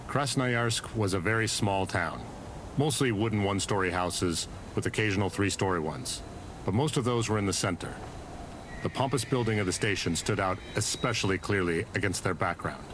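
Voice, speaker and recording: An actor narrating a dark period detective visual novel in a sober, deliberate, descriptive manner. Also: male voice, fairly low pitch, expressive, noisy background, lightly acted. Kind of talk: scripted narration.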